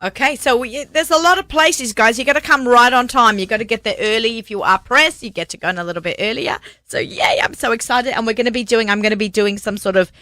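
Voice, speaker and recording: A woman's voice: fairly high pitch, bright, forceful tone, no room sound. A middle-aged woman hosting a radio show, chatting casually and bubbly on a studio mic. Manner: bubbly, quick, laughs often. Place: small cluttered radio studio. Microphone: studio mic with headphones.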